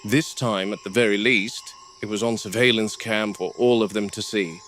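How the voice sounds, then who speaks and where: A man playing a weathered game warden who speaks tersely, quiet and subdued when upset. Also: lowish voice, steady tone, moderate pace, close to an everyday voice. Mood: calm. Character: weathered male park game warden, grim, practical. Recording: noisy, with crickets and insects behind.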